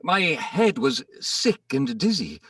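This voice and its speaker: A man giving a theatrical, measured dramatic reading of rhyming comic verse as the narrator. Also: a little high, sing-song, fairly bright tone, dry recording, clean studio sound, recorded from a distance. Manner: theatrical, measured storytelling delivery. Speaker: poem narrator.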